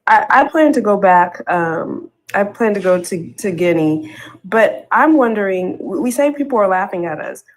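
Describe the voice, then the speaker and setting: A woman's voice: mid-range voice, expressive, normal speed, low-quality recording. Earnest woman asking serious questions. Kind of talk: casual news chat.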